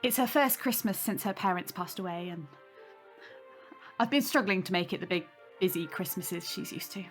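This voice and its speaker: An actress playing a warm, hospitable young aunt, chatty and gently teasing, in a naturalistic audio drama. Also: female voice, fairly low pitch, animated, moderate pace, soft background music, close to an everyday voice. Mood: quietly confiding.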